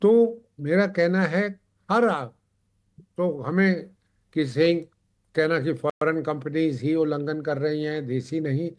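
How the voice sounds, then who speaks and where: A man explaining points as a TV panel guest, haltingly, often repeating and rephrasing his words. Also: a little high. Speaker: panel guest on a consumer affairs TV show.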